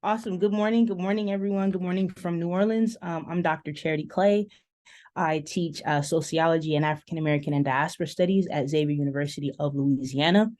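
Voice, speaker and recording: A woman with a low, deep speaking voice gives panel remarks over a video call. She pauses often with "um" and draws out key words as she thinks aloud. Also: steady tone, a little mellow. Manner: thoughtful, many "um" pauses, stretches key words. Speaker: panelist, sociology professor.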